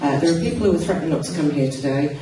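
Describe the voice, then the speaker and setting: A man hosting a public meeting, brisk and procedural as he hands the microphone around. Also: on the high side, dark tone, a little hiss or hum. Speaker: event host. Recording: echoey hall, heard from far off.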